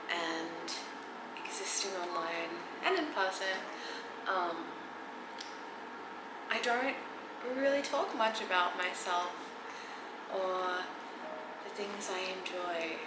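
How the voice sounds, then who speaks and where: An Australian woman in her thirties chatting casually to camera in a get-to-know-me vlog, hesitant with many ums and soft giggles, sometimes dropping near a whisper. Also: fairly high pitch, roomy sound, heavy background noise. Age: 30s. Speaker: content creator. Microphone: camera mic.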